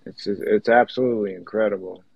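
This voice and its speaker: A man's voice: on the low side, steady tone, brisk, creaky, husky, dry recording. A man in a casual panel chat, informal and enthusiastic, repeating words for emphasis. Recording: dull lo-fi line.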